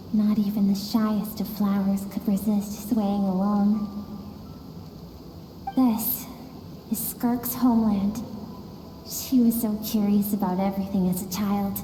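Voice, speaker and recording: An actress playing a gentle, wistful female storyteller in a video game, narrating softly with echoing reverb. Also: mid-range voice, noisy background, lightly acted. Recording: echoing, long reverb over music.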